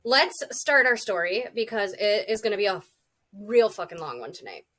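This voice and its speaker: A woman in her thirties co-hosting a casual podcast chat, a little congested from a cold, blunt and quick to swear. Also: medium pitch, sing-song, a bit bright. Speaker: podcast co-host.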